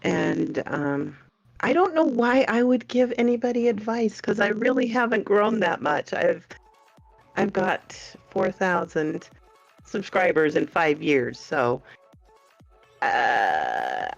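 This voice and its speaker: Slow, gravelly, lively-voiced woman. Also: mid-range voice. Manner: laughs often, hesitant, self-deprecating. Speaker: podcast guest, online story narrator. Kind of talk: casual chat. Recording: muffled lo-fi stream audio with faint music.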